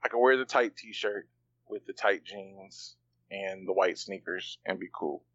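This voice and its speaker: A man's voice: low-pitched, a little slow, a bit bright, highs cut off. A man co-hosting a podcast in casual chat, rambling loosely with plenty of fillers and slang. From part Bahamian. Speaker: podcast co-host.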